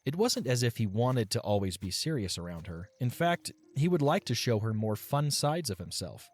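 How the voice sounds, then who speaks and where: A man's voice: medium pitch, sing-song, moderate pace. A man narrating a scripted fantasy audio story as a storyteller. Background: background music.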